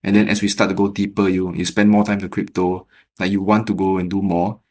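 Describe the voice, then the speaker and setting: Singaporean English male host, lowish voice, chatty and easygoing, laughs often. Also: brisk. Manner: relaxed, chatty, laughs easily, repeats words. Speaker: podcast host. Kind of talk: casual interview chat.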